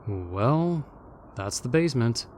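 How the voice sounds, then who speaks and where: A man's voice: medium pitch, sing-song, takes it slowly, a little mellow, a little hiss or hum. A man playing a formal, matter-of-fact ghost from an older era, skeptical and level-headed, in an audio roleplay. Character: stuffy, level-headed old-time male ghost. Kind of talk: fan audio roleplay comedy acting.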